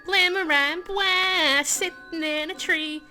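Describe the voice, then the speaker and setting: A woman's voice: extremely high pitch, steady tone, slow pace, bright, forceful tone, faint music behind, pitched above the performer's own voice, heavily acted. A woman voicing a playful young male dragon who needles his friend in a fan script reading. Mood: mocking.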